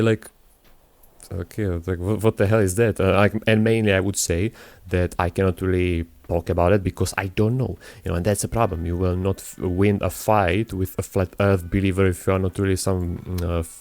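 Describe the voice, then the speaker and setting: A young man talks over his gameplay in English that is not his first language, in a somewhat low voice. He wanders between topics, stumbles, and restarts his sentences often. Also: darkish tone. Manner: rambling, hesitant, many restarts and fillers. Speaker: gaming YouTuber. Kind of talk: casual gameplay commentary.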